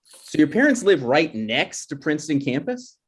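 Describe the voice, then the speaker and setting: A man's voice: a little high, big pitch swings, moderate pace, slightly rough. A man playing an awkward, defensive young grad student with hesitant, rambling delivery in a comedy table read. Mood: eager.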